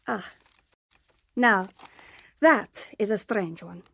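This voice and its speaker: An actress playing a sharp, composed young secretary in a radio drama, speaking precisely and in a businesslike way. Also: female voice, medium pitch, lively intonation, very slow, gravelly, breathy edge, dry recording, exaggerated voice. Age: young adult.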